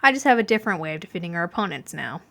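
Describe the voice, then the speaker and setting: Stern young male mafioso acted by a woman, dark tone, firm, smug, protective, fan-fiction audio story. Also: fairly low pitch, sing-song, very fast, vocal fry, pitched below the performer's own voice, full character voice.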